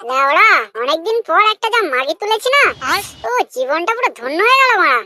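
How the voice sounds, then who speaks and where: A man voicing a lustful young village lover in a comic cartoon, crude and full of flattery. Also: very high voice, brisk, a little hiss or hum, exaggerated voice. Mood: impatient, lustful. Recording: loud children's music behind. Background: children's music.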